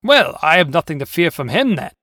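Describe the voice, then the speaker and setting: A man's voice: high voice, very animated, moderate pace, pushed and bright, dry recording, studio quality, voice pushed up, exaggerated voice. A man voicing a boastful, naive storybook paper tiger with a grandiose, theatrical way of talking. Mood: grandly boastful.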